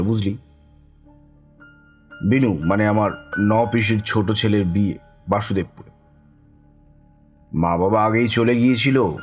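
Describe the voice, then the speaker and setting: A low-pitched middle-aged storyteller in an audio horror drama, cheerful and fond of mystery. He speaks deliberately and teasingly, with music underneath. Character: cheerful older club raconteur turning mysterious storyteller.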